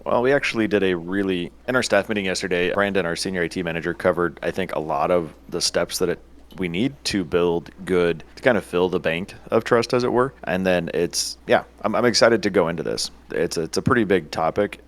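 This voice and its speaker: Very deep-voiced man, chatty co-host. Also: a little fast. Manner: chatty, uses filler words and "right?". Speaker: podcast co-host, IT business owner. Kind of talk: casual podcast talk.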